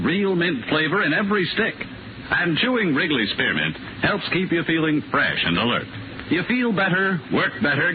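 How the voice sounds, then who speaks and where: A man's voice: a little high, noisy background. An American man working as a 1950s radio announcer, reading ads and credits in a polished, upbeat way. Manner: polished, upbeat sales delivery. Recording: tinny old radio broadcast, loud music.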